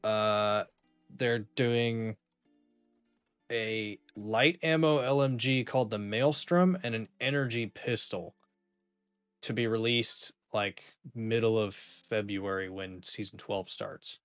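A man's voice: fairly low pitch, slow, a bit bright, clean tone, soft background music. A man co-hosting a podcast, explaining things in casual, informal gaming chat. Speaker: podcast co-host.

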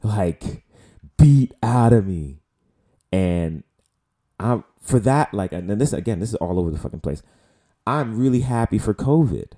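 A man's voice: lowish voice, expressive, a little slow, mellow. A man in his late twenties with a New York accent, talking loosely and profanely as host of a solo podcast. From the Bronx, New York. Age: late 20s. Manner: loose, profane, rambling with many fillers. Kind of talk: casual solo podcast talk.

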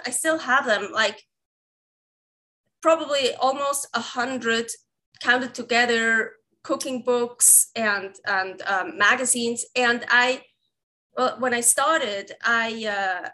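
Bright high-voiced Swiss woman. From Switzerland. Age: 30s. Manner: rambling, self-correcting, laughs often. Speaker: guest, recipe developer. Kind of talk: casual interview conversation.

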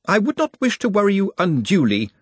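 A man reading an audiobook, voicing a fussy, worried old male scholar who speaks slowly and pedantically. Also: sits high, huge pitch swings, slightly rough, no echo, pitched above the performer's own voice, heavily acted. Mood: fretful. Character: fussy, fretful old male keeper of traditions.

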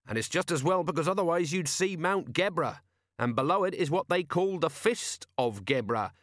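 A man's voice: fairly high pitch, animated, moderate pace, fairly bright tone, no echo, clean studio sound, voice pushed up. A man voicing a blunt, wryly scornful seafaring man in an audiobook. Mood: blunt, warning.

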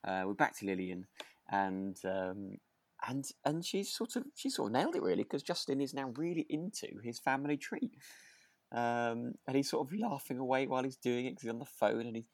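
British male co-host, very lively intonation, relaxed halting chat with repeated phrases, dry recording. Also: medium pitch, no room sound. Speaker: podcast co-host.

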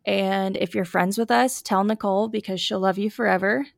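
Young female podcast host, chatty, allergy-scratchy and sniffly, gravelly fry, dry room. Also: medium pitch, fairly even intonation, normal speed, dry recording. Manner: chatty, lots of fillers. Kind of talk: casual chat.

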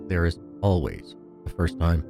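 A man's voice: deep voice, very animated, measured pace, creaky, over music, heavily acted. A man playing a proud, slippery brother in money trouble in an audio drama, desperate and cynical. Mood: slick, cynical.

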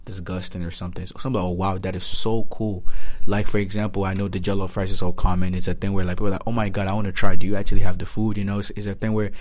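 A man's voice: low-pitched, little pitch movement, rapid-fire, hardly any pauses, a little mellow. A young man from Nigeria with a Nigerian accent, talking earnestly as a student guest in a casual conversation. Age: young adult. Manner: earnest, repeats himself, acts out quotes.

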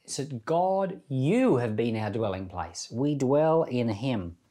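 Man reading and explaining scripture, earnest and deliberate. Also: mid-range voice, big pitch swings, mellow. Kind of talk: Bible reading with devotional commentary.

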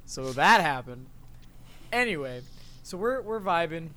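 A man's voice: on the high side, very animated, measured pace, sharp tone. A young American man chatting and telling stories casually and bluntly as a podcast co-host. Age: young adult. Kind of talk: casual chat and storytelling among friends.

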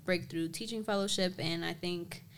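A woman's voice: fairly low pitch, fairly even intonation, moderate pace, slight background noise. A young woman, a co-host, chatting casually on a podcast, rambling and laughing often. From Dominican American, grew up in the US.

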